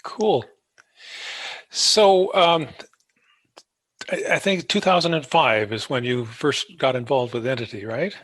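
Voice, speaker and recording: A man's voice: mid-range voice, takes it slowly, long pauses, a bit bright, dry recording. A man hosting a podcast, interviewing a guest in a casual, conversational way.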